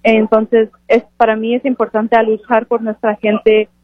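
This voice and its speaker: A woman of twenty-two giving an earnest, halting, unscripted interview answer. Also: fairly high pitch, monotone, dry recording. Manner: earnest, halting with self-corrections. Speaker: interviewed graduate student and scholarship recipient. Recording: phone-like radio broadcast sound.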